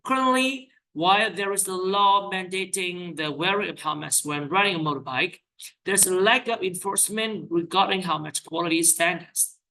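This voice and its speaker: An IELTS teacher reading sample speaking-test answers aloud, with quick self-corrections. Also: medium pitch, pushed and bright, rough recording. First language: Vietnamese. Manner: reads out questions and answers, corrects own slips with "sorry".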